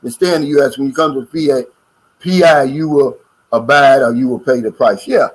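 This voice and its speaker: A man's voice: mid-range voice, a little fast, slightly rough, low-quality recording. An older American man co-hosting a casual livestream chat and reading out viewer comments in a folksy, drawling way. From United States. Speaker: co-host of a livestream.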